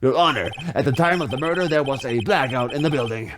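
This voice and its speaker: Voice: mid-range voice, fast talker, background noise, voice pushed down, natural voice. A performer voicing a smug, formal middle-aged male prosecutor with a pointed manner in a video game reading.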